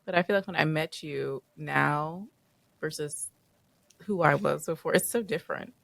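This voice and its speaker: A woman's voice: low voice, creaky, a bit bright, no echo. A woman in her twenties co-hosting a podcast, a teacher bantering and teasing in casual chat with her husband. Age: 20s. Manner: playful, teasing banter with quick laughs. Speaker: podcast co-host; public school teacher.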